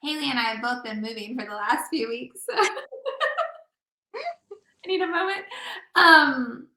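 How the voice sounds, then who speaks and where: A woman's voice: high voice, expressive, unhurried, pushed and bright. A woman hosting a relationship podcast as an intimacy coach, talking casually and guiding the talk, a little scattered. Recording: echoey room.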